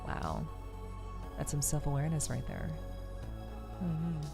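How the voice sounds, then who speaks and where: A woman's voice: sits low, very animated, unhurried, creaky. A woman livestreaming a game as a VTuber, reading dialogue aloud and commenting on it. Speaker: streamer, VTuber. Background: faint background music.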